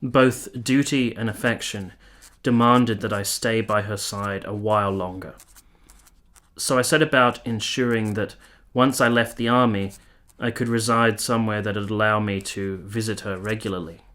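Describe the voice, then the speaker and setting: An actor playing an earnest, tormented soldier of 1870s Italy in a radio drama, speaking in a formal, measured, literary manner. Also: male voice, lowish voice, fairly even intonation, a bit bright, close to an everyday voice. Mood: solemn, resolute. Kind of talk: dramatic radio play narration and dialogue.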